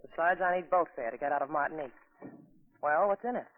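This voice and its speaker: An actress playing a cool, brazen young American woman, deadpan and teasing, in 1940s radio drama. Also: low-pitched, fast talker, dry recording, lightly acted. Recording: noisy, tinny 1940s radio recording.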